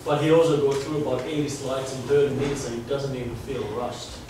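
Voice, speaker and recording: A man's voice: medium pitch, steady tone, fairly quick. Finnish-accented man lecturing in an echoey hall. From Finland. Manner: chatty, conversational, restarts phrases. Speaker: guest lecturer. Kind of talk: informal lecture to students. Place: large lecture hall, indoors. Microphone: far camera, no mic seen. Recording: echoey hall, distant mic, background noise.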